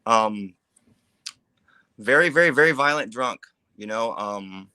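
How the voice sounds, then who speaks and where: A man in his forties from California tells his recovery story, candid and plainspoken. His voice is bright and forward, and the sound is dry and close with no room echo. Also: fairly low pitch, lively intonation, takes it slowly, clear, resonant tone. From California, USA. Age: 40s. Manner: candid, plainspoken, casual grammar. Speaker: recovery advocate guest. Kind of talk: personal testimony.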